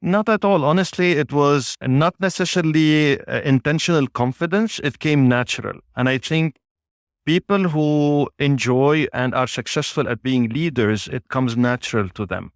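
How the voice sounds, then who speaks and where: A man of Lebanese origin, a native Arabic speaker, answering interview questions in a measured way with frequent pauses. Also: fairly high pitch, no room sound, studio quality. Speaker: guest, business executive.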